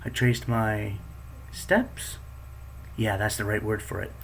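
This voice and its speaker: A man with a fairly low voice reads his own story aloud in a plain, steady way. His voice jumps higher when he speaks the quoted thoughts. A faint hum of room ambience sits behind him. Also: slow pace, vocal fry. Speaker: fanfiction reader.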